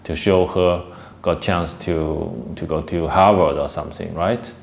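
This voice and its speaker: A man's voice: sits low, fairly even intonation, a little slow, creaky, noisy background. A man hosting a casual video commentary, talking in a rambling, hesitant way. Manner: rambling and hesitant, with frequent restarts. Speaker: host.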